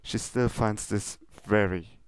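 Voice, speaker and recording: An amateur storyteller reads fan fiction narration. The voice drops lower and quieter than in the dialogue. The recording is dry, with no room sound. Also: fairly even intonation, slow, clear, resonant tone, no room sound.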